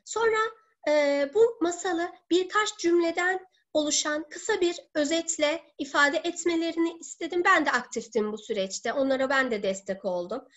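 A woman in her thirties, a teacher presenting in an online seminar from home, explaining step by step in a halting way. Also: high voice. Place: living room at home. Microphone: laptop or webcam video call.

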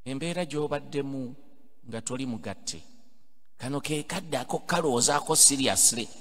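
A man's voice: medium pitch, moderate pace. Man, Ugandan accent, priest, emphatic homily, pulpit mic, long cathedral reverb. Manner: emphatic preaching, building to loud exhortations. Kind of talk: homily mixing English and Luganda. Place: large cathedral, indoors at a pulpit. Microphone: pulpit microphone on a stand.

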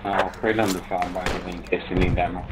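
Deep flat-voiced man reporting in. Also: a little fast, very noisy. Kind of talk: tactical voice chat during online game. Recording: gunfire-heavy game audio over voice chat.

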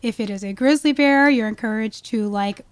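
A woman's voice: fairly high pitch, moderate pace, clear, resonant tone, no echo. A woman speaking as an expert guest in a conversational podcast interview, explaining things with emphasis. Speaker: guest, wildlife ecologist.

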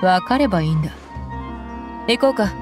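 A woman's voice: mid-range voice, lively intonation, fairly quick, lightly acted. A woman voicing a blunt tough mercenary woman. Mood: stern. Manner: rough commanding, blunt threats. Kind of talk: Japanese game dub. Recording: game audio with loud music behind.

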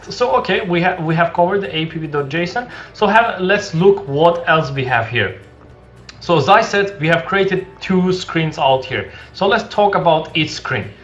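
Halting young man explaining code. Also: sits high, expressive, fairly bright tone. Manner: halting, many restarts and fillers, explanatory. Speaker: coding tutorial host. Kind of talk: screen-share coding tutorial. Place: small room indoors. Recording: dull lo-fi sound with faint music.

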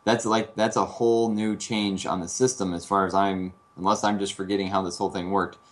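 A man with a very low voice speaks as a guest on a casual talk show. He explains calmly and steadily, filling his pauses with "uh" and "um". His tone is plain and conversational. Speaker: guest, air traffic controller. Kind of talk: casual call-in chat.

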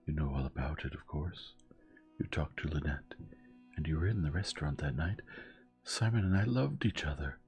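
A man voicing a bitter, desperate young woman who was jilted in love, speaking intensely and dropping to breathy whispers. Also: very deep voice, moderate pace, darkish tone, soft background music.

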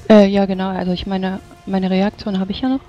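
Rpg player chatting, mid pitch, dull cheap mic, little bit of music. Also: level tone, brisk. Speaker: tabletop game player. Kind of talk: casual game-table chat. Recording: dull lo-fi voice chat, faint music.

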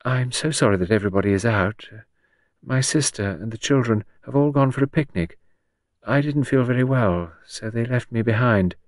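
A bewildered widow from an audiobook mystery, put on by a male reader. The voice is lifted in pitch and sounds plaintive and apologetic. She talks vaguely and anxiously, in a dry recording. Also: expressive, moderate pace, long pauses, no echo, close to an everyday voice.

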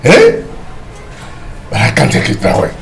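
A man's voice: medium pitch, wildly swooping pitch, measured pace, gravelly, over loud music, exaggerated voice. An actor with a Nigerian accent playing an agitated, aggrieved middle-aged father in a film drama, given to repeated exclamations. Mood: outraged. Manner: repetitive, exclamatory outbursts. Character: agitated, aggrieved father raging over stolen money.

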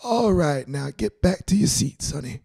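A high, gravelly old grandfather performed by a man reading a storybook speaks in a big ringing reverb. He is sentimental and grateful, with a slow, halting, tender delivery. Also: lively intonation, heavily acted. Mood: affectionate. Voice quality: creaky. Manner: halting, tender, soft-spoken. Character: elderly grandfather giving a sentimental, grateful retirement speech. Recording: long hall reverb on the voice.

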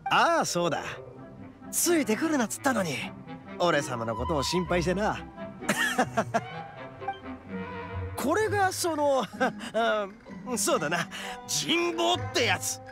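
Brash, animated young oni. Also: male voice, high-pitched, measured pace, over music, exaggerated voice. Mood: flustered, bluffing. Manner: cocky, rough slangy speech. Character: brash, boastful young oni man, hot-headed but soft-hearted. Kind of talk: anime game voice acting.

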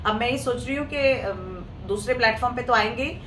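A woman with a hoarse throat hosting a live clothing sale, chatting casually with viewers in Hindi mixed with English. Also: on the high side, brisk, a bit bright. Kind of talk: casual live-stream sales talk. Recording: echoey room, mic at a distance, faint noise.

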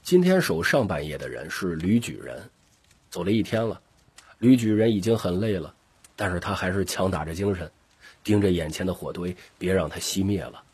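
A man with a gravelly, husky voice narrating an audiobook story expressively. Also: sits low, animated, moderate pace, darkish tone, no room sound.

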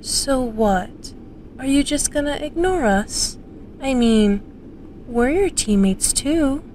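A woman's voice: high voice, lively intonation, slow, darkish tone, a little hiss or hum. A woman playing a smug, manipulative young female student in an audio drama, drawling and condescending as she taunts. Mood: haughty.

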